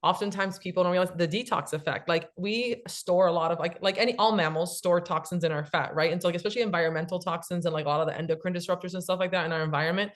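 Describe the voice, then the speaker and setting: A woman in her late thirties, a cookbook author, talking casually and explaining things quickly with many fillers. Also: fairly low pitch. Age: 30s. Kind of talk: casual podcast chat.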